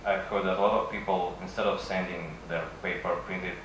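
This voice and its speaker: A man with a Russian accent, a native Russian speaker, giving a halting conference presentation with frequent pauses. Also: low-pitched, little pitch movement. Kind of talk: conference presentation, semi-improvised. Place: conference session room. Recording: echoey room from far off, faint noise.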